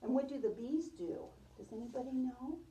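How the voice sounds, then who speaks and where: Woman, preschool teacher, higher-pitched, very soft warm tone, storybook read-aloud, distant microphone. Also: normal speed, echoey room, a little hiss or hum. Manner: repeats and affirms pupils' answers. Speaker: preschool teacher reading a picture book to a class.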